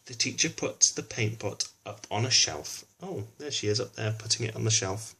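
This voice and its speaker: A man's voice: lowish voice, expressive, moderate pace, sharp tone, husky, rough recording. A man reads a children's storybook aloud expressively, adding informal explanatory asides for a young listener. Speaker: children's book reader.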